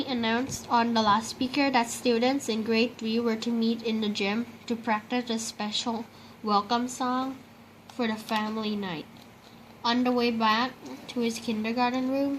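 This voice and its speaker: A woman with a fairly high voice narrates a children's story aloud. She reads in a storytelling style for young listeners. There is clear background noise in the recording. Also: low-quality recording. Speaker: storybook reader.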